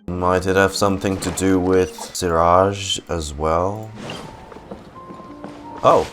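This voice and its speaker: A man giving casual, excitable reaction commentary as a game streamer, full of slang. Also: low voice, takes it slowly, music in the background.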